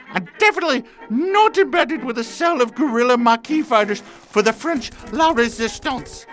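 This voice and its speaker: A man's voice: very high-pitched, bright, forceful tone, exaggerated voice, low-quality recording. A man voicing a flamboyant homemaker chef with a secretly fierce streak, theatrical and exclamatory, in a parody radio cooking show.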